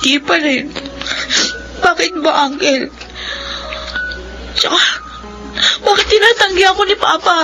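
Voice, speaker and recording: A very high-pitched teenage girl in a Filipino radio drama. She is a distraught, stubborn daughter who speaks with raw, tearful emotion and a Filipino accent, over background music. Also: measured pace, pauses often, poor audio. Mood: sad. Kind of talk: Philippine radio drama acting.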